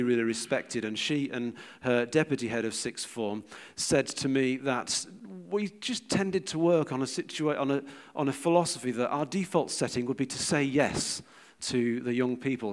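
A middle-aged British man giving an informal sermon with personal anecdotes, in a hesitant, conversational and reflective manner. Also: medium pitch. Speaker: church speaker giving a teaching talk.

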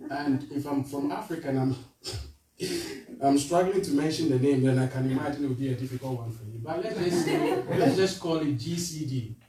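A man from Ghana with a Ghanaian accent, giving an earnest charity appeal to a church, halting with fillers and restarts. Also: on the high side, warm tone. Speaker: charity representative speaking to a church. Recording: roomy echo, voice from far off.

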